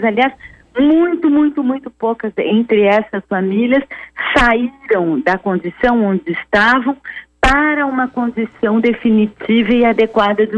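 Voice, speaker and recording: A woman's voice: fairly high pitch, no echo. A woman with a Brazilian accent giving emphatic, argumentative radio commentary as a columnist, stressing key words over a muffled line. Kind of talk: spoken explanatory commentary on radio. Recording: dull, dry phone-like radio line.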